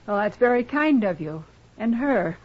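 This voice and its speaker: A woman's voice: mid-range voice, animated, moderate pace, close to an everyday voice. Actress as a guarded, earnest frontier woman. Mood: resolute. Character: guarded, earnest woman returned from long captivity. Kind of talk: 1950s radio drama acting. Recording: dull vintage radio recording.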